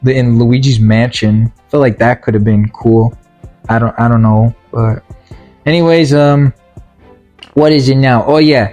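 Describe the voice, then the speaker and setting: A man's voice: medium pitch, a little mellow. A man giving a casual, comedic ranting recap as a video game movie reviewer, rambling and stumbling over his words with exasperation.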